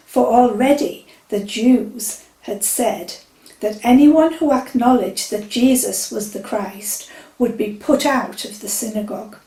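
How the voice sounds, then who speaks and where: A woman reading a Bible passage at a church service, in a measured, expressive way. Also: fairly high pitch. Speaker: scripture reader. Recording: echoey church from a distance.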